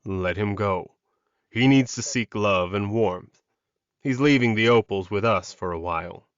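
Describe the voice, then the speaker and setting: Man playing a calm protective werewolf alpha. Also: lowish voice, unhurried, clear, resonant tone, dry recording, muffled recording, lightly acted. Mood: calm, firm.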